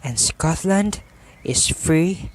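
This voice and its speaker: A man's voice: fairly high pitch, lively intonation, very slow, background noise. A man giving scripted narration as the narrator of an amateur radio drama. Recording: music behind the voice.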